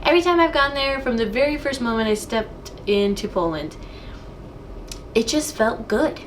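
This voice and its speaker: A young woman from the United States hosts a casual show, chatting straight to her viewers. Her voice sits high and she gushes with enthusiasm. A faint hiss of noise lies under the recording. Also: animated, moderate pace. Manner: bubbly, enthusiastic. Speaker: host. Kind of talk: casual vlog chat to camera.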